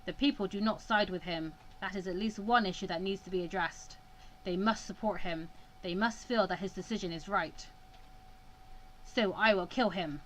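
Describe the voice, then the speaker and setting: A woman voicing a stern, cold male mentor in a visual novel, speaking in a measured, firm way. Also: mid-range voice, slight background noise, lightly acted, rough recording.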